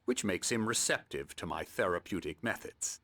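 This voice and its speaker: A man playing a smug, condescending middle-aged psychiatrist in a video game, speaking in a patronizing, measured, lecturing way. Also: low voice, lively intonation, a bit bright. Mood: dismissive. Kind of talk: video game cutscene acting.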